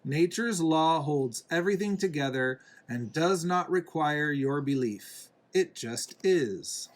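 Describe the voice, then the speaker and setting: A man reading a children's picture book aloud in a deliberate way, pausing between phrases. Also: a little high, lively intonation. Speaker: reader, parent reading to a young child.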